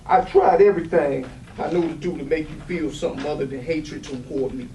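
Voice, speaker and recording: High-voiced actor as hurt, defiant son, roomy recording. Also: male voice, brisk, dark tone, lightly acted. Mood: pleading, upset. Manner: pleading and confrontational. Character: hurt, defiant grown son of an angry father. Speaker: stage actor in a readers theatre. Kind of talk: dramatic staged play reading. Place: live readers theatre room. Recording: roomy, distant mic with light noise.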